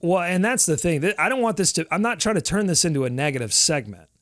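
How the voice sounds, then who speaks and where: A man's voice: a little high, expressive, fast talker, fairly bright tone, dry recording, clean studio sound. A male sports podcast host talking in a loose, rambling way, playfully dramatic about his team's woes. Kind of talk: casual sports talk.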